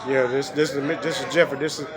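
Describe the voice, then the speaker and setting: 60s guy hosting a street vlog outside, fast repetitive preachy talk, crowd chatter all around. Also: medium pitch, little pitch movement. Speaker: vlog host. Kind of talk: casual street interview. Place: outdoors in a city.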